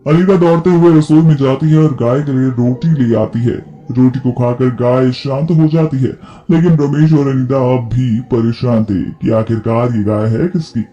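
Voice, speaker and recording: A male storyteller reading a scripted narration. His voice is warm and dark, sitting at a middle pitch. Light music plays underneath. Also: moderate pace, hardly any pauses, dull audio.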